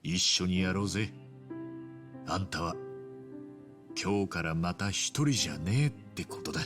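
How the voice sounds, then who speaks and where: A man's voice: deep voice, fast talker, music in the background, natural voice. An actor playing a bold, big-hearted middle-aged man who rallies his friends in a Japanese video game drama. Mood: determined.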